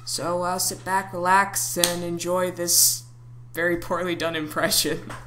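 Slow, low-pitched, self-deprecating woman. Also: flat delivery. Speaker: amateur voice actor. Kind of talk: casual introduction to an audition. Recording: clearly noisy home recording.

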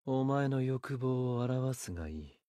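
An actor playing an arrogant, seductive serpent god in a game, speaking in a haughty, deliberate, condescending way. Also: male voice, mid-range voice, expressive, dark tone, no echo, studio quality, close to an everyday voice. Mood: teasing, condescending. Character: arrogant, seductive serpent god who toys with human desires. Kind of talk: Japanese game character voice lines.

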